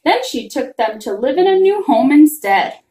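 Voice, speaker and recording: High, lilting woman reading a children's story. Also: normal speed. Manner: expressive, singsong rhyming delivery. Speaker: reader of a children's picture book. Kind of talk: read-aloud children's storytelling. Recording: roomy, echoing room sound.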